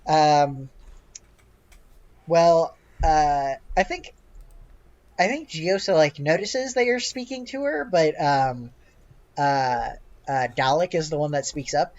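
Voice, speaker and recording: A game master improvising narration for a tabletop game, halting and hesitant, with "like" sprinkled throughout. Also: medium pitch, expressive, a little mellow, highs cut off. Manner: halting, hesitant, heavy use of "like".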